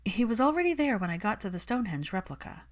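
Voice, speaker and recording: A woman's voice: medium pitch, big pitch swings, quick pace, pitched above the performer's own voice, close to an everyday voice. A woman voicing a guarded, logical young woman in a storybook reading, clipped, insistent and clinical.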